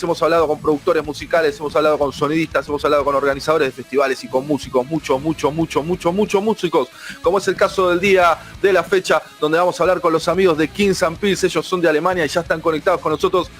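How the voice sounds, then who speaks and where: Fast-talking Argentine radio host, man. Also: fairly high pitch, fairly even intonation, a bit bright, faint music behind. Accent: Argentine Spanish. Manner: rapid, emphatic, piles up repeated phrases. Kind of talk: energetic radio presenting.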